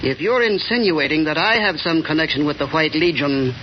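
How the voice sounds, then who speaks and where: Muffled old radio play: male actor as an evasive, indignant newspaper editor, stiff and formal, highish voice. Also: fairly quick, close to an everyday voice. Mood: nervous, defensive. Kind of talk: 1930s radio drama acting. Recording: noisy, muffled 1938 radio broadcast.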